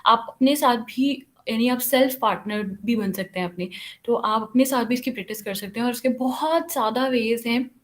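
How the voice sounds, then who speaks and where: Woman lecturing an online class, slightly high voice, casual code-switching. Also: normal speed. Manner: switches between Hindi-Urdu and English, frequent fillers. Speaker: English teacher.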